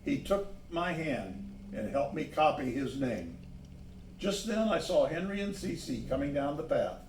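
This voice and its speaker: Slow-paced man reading a storybook, echoey room. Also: on the high side, very animated, a little mellow, slight background noise. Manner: pauses to point out the pictures. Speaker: storybook reader. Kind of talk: read-aloud children's story narration with asides on the pictures. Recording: echoey room, distant microphone.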